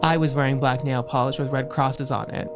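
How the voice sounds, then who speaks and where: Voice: mid-range voice, steady tone, brisk. A livestream host doing a comedic dramatic reading aloud, stumbling over words in a giggly way. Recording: music behind the voice. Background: music.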